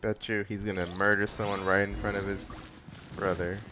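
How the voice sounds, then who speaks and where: A man's voice: on the low side, little pitch movement, normal speed, over music. A man giving dry, offhand, casual commentary while playing a video game. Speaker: gamer making commentary. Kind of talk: casual let's play commentary.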